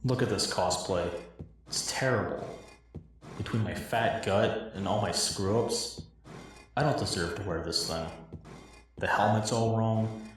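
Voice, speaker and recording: A man speaks in a fairly low register. He delivers scripted, dramatic monologue in the style of a game cutscene parody. The sound is strongly reverberant and roomy. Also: measured pace, pauses often, distant mic. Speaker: cosplay builder acting in own parody cutscenes. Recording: echoey, roomy recording.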